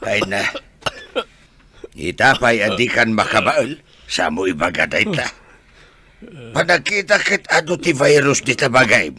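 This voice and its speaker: A man playing a stern old father in a radio drama, rough-voiced, forceful and reproachful. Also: mid-range voice, sing-song, measured pace, long pauses, heavily acted. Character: stern, scolding old father rebuking his son. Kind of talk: Ilocano radio drama acting.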